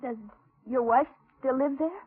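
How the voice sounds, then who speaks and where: An actress playing a frightened, lonely young waitress, tense and hesitant, in a 1940s radio thriller. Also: female voice, high voice, a little slow, slightly rough, dry recording. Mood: timid, anxious. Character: frightened, lonely young waitress held captive, telling her ordeal. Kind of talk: 1940s radio thriller acting and first-person narration. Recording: tinny 1940s radio recording with music.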